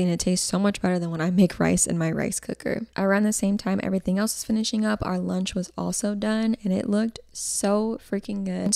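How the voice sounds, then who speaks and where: A woman's voice: lowish voice, gravelly, mellow, no echo. A young adult woman narrating a casual how-to vlog in an informal, chatty way, recorded as a close voice-over. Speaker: vlogger.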